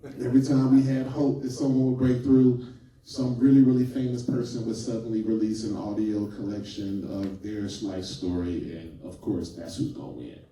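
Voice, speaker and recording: A man's voice: on the low side, flat delivery, normal speed, warm tone. A man hosting a panel discussion, talking casually and hesitantly with many fillers. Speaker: host. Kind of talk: panel moderation. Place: event room before a live audience. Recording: echoey hall, mic far off.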